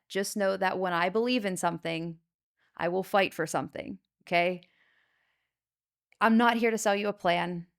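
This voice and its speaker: A woman with a fairly low, smooth, resonant voice hosts her own podcast as an insurance agent. She talks straight to the listener, earnest and emphatic, and checks in with a steady stream of "okay?" and "you know". Her voice is loud and carries. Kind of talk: solo podcast talk to the viewer.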